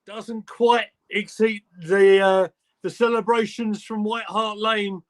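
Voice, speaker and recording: A young man giving live play-by-play commentary on a football match for fans, in brisk, clipped calls. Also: sits high, level tone. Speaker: fan commentator.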